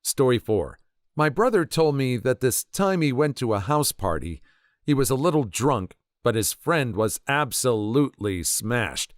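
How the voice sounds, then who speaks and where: A man reading internet stories aloud as a narrator, telling them in a lively, expressive way. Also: medium pitch, unhurried, dry recording, studio quality. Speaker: story narrator.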